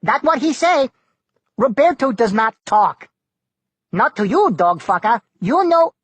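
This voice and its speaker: A reader voicing a hot-tempered male boat navigator who speaks broken English and yells in sharp bursts. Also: medium pitch, sing-song, unhurried, husky, no echo, pitched above the performer's own voice. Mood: indignant.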